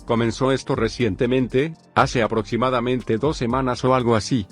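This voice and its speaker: A man with a mid-range voice narrates a first-person story at an even, measured pace. Soft music runs quietly beneath him. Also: mid-range voice, slightly rough. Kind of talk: reading a forum story aloud. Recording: faint background music.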